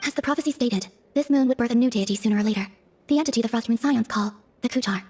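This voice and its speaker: A woman playing a serene, enigmatic young moon goddess in a video game cutscene, speaking formally, softly and with detachment. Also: on the high side, breathy edge. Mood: solemn. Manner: measured formal phrasing, soft, detached.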